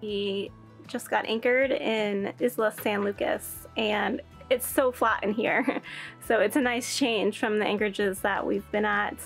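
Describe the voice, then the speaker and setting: A woman in her thirties talking casually to an action camera on a sailboat, relaxed and laughing easily. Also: mid-range voice, measured pace, gravelly, faint music behind. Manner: relaxed, chatty, laughs often. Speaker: sailing vlogger. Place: aboard a sailboat cabin.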